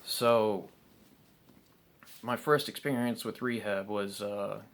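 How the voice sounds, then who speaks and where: A man's voice: on the low side, fairly even intonation, slow pace, a bit bright. A young man telling his own story to camera in an unscripted, candid way, with frequent ums and restarts. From South Carolina, United States.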